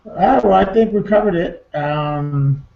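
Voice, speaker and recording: A man's voice: high-pitched, lively intonation, measured pace, creaky. A man co-hosting a casual online talk show, chatting informally and in a subdued way over a muffled internet call. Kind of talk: casual two-person chat. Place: indoor room, online video hangout. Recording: muffled, echoey, faintly noisy online call.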